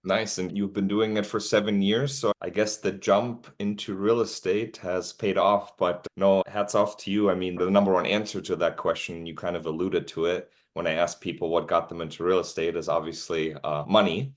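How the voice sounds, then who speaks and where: A man with a very deep voice hosts a podcast interview. He asks his questions in a friendly, encouraging way and lets his guest talk. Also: fairly even intonation, normal speed, few pauses. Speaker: podcast host.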